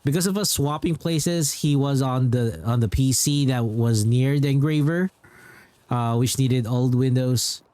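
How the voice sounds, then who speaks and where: Man, game streamer, casual chat, rambling and repetitive, medium pitch, dry close mic. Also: unhurried, a little mellow, no echo. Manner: rambling, repeats himself. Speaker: game livestreamer.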